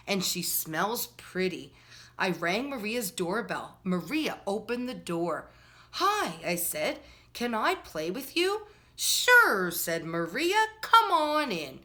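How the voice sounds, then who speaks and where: A woman hosting a children's storytime, reading a picture book aloud expressively and speaking directly to young listeners. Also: mid-range voice. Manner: slow, addresses children directly, storyteller phrasing. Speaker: children's storytime host and reader.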